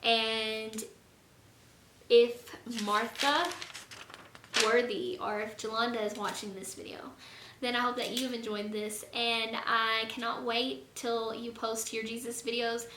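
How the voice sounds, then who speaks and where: A young woman with a hoarse, fading voice, talking earnestly and rambling a little in a casual faith vlog. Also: a little high, little pitch movement, slow. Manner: earnest, rambling, lots of fillers. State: hoarse, losing her voice. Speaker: vlogger. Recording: echoey room, faint noise.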